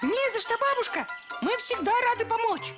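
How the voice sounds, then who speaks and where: A woman's voice: extremely high pitch, very animated, normal speed, music in the background. A woman voicing a small, eager, kindly young forest animal in a children's cartoon, childlike in manner.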